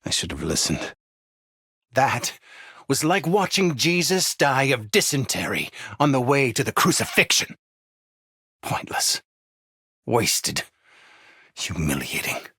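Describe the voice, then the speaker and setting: Husky, contemptuous game villain overseer. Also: male voice, medium pitch, very animated, slow pace, pauses often, pushed and bright, no echo, clean studio sound, heavily acted. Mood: weary contempt. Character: contemptuous, bitterly disappointed villainous male overseer. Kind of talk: scripted video game character acting.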